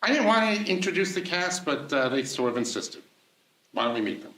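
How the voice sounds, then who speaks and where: A man introducing a comedy playhouse with jokey, deadpan punchlines. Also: on the high side, brisk, reverberant, poor audio. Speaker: host. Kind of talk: comic stage introduction.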